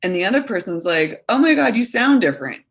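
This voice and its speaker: A woman's voice: medium pitch, lively intonation, quick pace. A woman in her thirties, a guest coach talking earnestly and animatedly in a casual podcast conversation, full of fillers.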